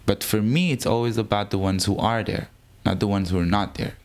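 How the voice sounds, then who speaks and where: A man speaks casually as an interview guest, his voice sitting fairly low. He talks in an animated way, laughs easily and often restarts his sentences. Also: a little fast. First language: Hebrew. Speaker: drag performer guest.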